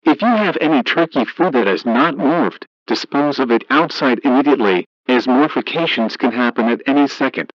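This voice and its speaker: Male emergency broadcast announcer, mid-pitched, formal, flat scripted read with a synthetic edge, dull radio sound. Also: normal speed, few pauses, no room sound.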